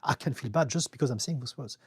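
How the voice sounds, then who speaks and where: A middle-aged man from France with a French accent, calmly reading viewer questions and answering them as a therapist on a live stream. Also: medium pitch, quick pace, breathy edge, no room sound, clean studio sound. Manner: calm, reassuring, reads out viewer comments with light laughs. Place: home in the UK.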